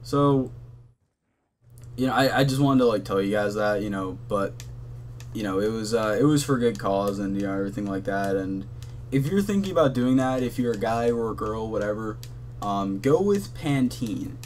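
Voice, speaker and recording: A young man with a monotone voice, rambling casually with lots of fillers and swearing as the host of a gaming channel, talking from his bedroom. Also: on the low side, moderate pace, a little hiss or hum. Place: hot bedroom at his console.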